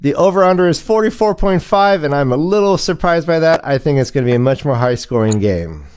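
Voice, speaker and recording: A man's voice: fairly high pitch, lively intonation, brisk, darkish tone, smooth. A man hosting a casual sports talk show, with playful, theatrical emphasis in his delivery. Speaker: host.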